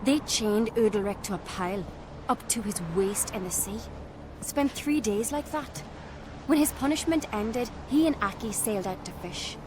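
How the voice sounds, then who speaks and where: A woman's voice: mid-range voice, expressive, normal speed, background noise, lightly acted. An actress playing an earnest, headstrong young island noblewoman in a fantasy video game, speaking frankly like a storyteller. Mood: somber, storytelling. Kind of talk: video game cutscene acting. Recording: music behind the voice.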